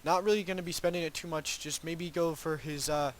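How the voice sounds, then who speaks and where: A man's voice: fairly high pitch, steady tone, a little fast. Congested young man casting a game. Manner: rambling, hedging asides with many ums and restarts. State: congested, sniffling from a cold. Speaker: game caster. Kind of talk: solo play-by-play esports commentary.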